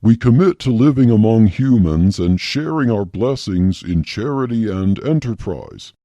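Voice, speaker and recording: A composed alien envoy, put on by a male storyteller, speaks in a very dark, mellow tone, stately and formal. His pitch rises and falls widely, and the sound is dry and close. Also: sing-song, measured pace, no room sound. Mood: calm, firm. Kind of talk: audiobook-style podcast story reading.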